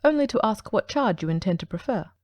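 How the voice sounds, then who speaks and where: A woman voicing a brilliant male detective who speaks in a brisk, precise, ironic way, in an audiobook reading. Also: medium pitch, lively intonation, darkish tone, close to an everyday voice.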